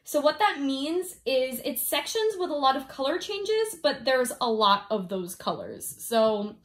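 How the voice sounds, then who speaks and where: Brief: young female hobby vlogger, higher voice, slight head-cold stuffiness, giggly, rambling, casual. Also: very animated, measured pace. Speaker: diamond painting vlogger. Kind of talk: casual review chat, reading off notes. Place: indoors at home, filming down at a canvas.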